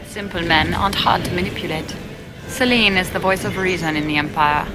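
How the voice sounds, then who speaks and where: An actress playing a guarded, proud elven ambassador and spymaster in a video game, composed and pointed. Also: female voice, lowish voice, a little fast, background noise, close to an everyday voice. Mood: cool, dismissive. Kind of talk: video game character dialogue.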